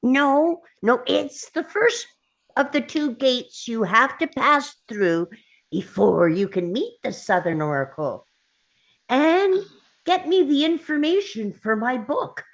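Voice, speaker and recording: A woman voicing a tiny old male gnome scientist, vain, fussy and impatient, in an animated script reading. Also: high voice, slow pace, heavily acted, rough recording. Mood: self-important, pompous. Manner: impatient, self-important, fussy. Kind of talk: animated table-read acting.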